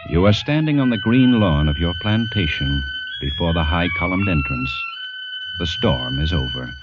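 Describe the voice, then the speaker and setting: Casting: intense American storyteller, low voice, theatrical vintage radio narration over prominent score. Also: male voice, animated, moderate pace, over loud music. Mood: hushed, tender. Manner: dramatic, urgent, theatrical. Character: intense, ominous second-person storyteller. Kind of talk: old-time radio drama narration. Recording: muffled vintage radio recording. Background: dramatic orchestral score.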